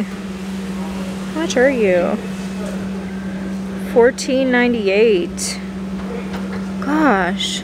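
A woman giving casual, chatty shopping vlog commentary, appreciative and half talking to herself as she browses, recorded on a handheld camera in a large store garden center. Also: mid-range voice. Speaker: vlogger, host. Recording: clear store background noise, ventilation hum.

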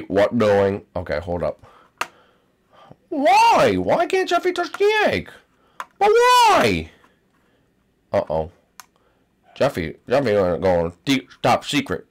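A man's voice: sits low, moderate pace, darkish tone, pitched below the performer's own voice, lightly acted. A man voicing a babyish, whiny young boy character in broken baby talk while playing a game. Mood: confused. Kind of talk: gameplay commentary in character.

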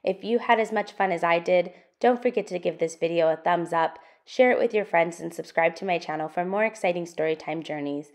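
A woman hosting an interactive children's storytime, reading a picture book aloud with expressive, sing-song, deliberate phrasing. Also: lowish voice.

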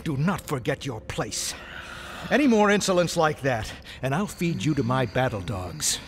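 A man's voice: mid-range voice, big pitch swings, moderate pace, slight background noise. A man playing a scheming, irascible military colonel in a space-opera audio drama, with blustering authority. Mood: outraged.

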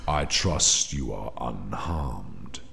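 A man playing a solemn, menacing demon from hell in an audio drama, speaking in a formal, portentous manner with a gravelly voice. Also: lively intonation, drawn-out words, crowd noise, full character voice. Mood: cold, solemn. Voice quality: very deep, gravelly. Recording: long cavernous reverb, music underneath.

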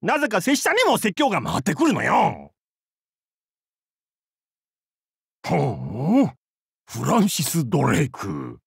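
An actor playing a boisterous, crude and goofy male pirate captain in a game, with a gravelly, husky voice and a hammy, theatrical, jokey way of talking. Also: on the high side, wildly swooping pitch, measured pace, no echo, clean studio sound, exaggerated voice. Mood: joking, rambling. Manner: hammy, theatrical, jokey otaku-style speech. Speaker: game character voice. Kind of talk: voiced game character lines.

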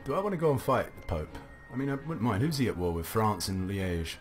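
A young man casually commentating a strategy game, thinking aloud, his voice a little gravelly from a recent cough. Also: on the low side, big pitch swings, fairly quick. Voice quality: slightly gravelly. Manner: musing aloud, chatty, self-talking. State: recovering from a cough, slight gravel. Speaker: let's play commentator. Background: faint game music.

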